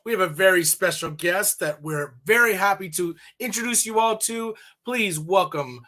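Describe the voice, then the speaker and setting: A woman's voice: mid-range voice, moderate pace, a bit bright. Casual, eager woman radio host. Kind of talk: casual interview chat.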